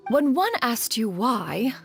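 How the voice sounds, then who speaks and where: A woman's voice: a little high, very animated, slow pace, gravelly, faint music behind, heavily acted. An actress voicing a dignified, caring female crane immortal in a video game, speaking in a formal, archaic, stately way. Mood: wry recounting. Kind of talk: scripted game character dialogue.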